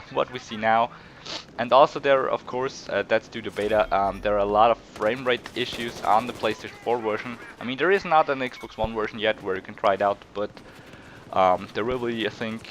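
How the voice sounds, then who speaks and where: A man's voice: mid-range voice, a bit bright, a little hiss or hum. A young man with an Austrian German accent, sick with a cold, giving hesitant, rambling gameplay commentary full of fillers and restarts. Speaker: gaming channel host.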